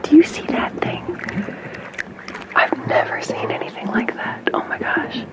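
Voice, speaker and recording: A woman playing a playful, sassy young companion to a captive merperson in an ASMR bedtime roleplay, often whispering in a breathy, affectionate way. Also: close to an everyday voice. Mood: hushed awe. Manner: snarky, affectionate, hushed, chatty and halting.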